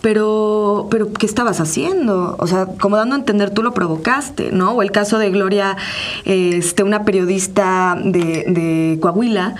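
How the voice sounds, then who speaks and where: A woman with a Mexican accent co-hosting a conversational interview, pausing as she searches for words. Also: medium pitch. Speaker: co-host.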